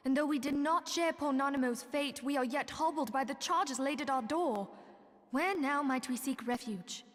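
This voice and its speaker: An actress playing an earnest, dignified leader of a hunted order in a video game, speaking formally and gravely. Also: female voice, high-pitched, normal speed, lightly acted. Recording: long reverb, music underneath.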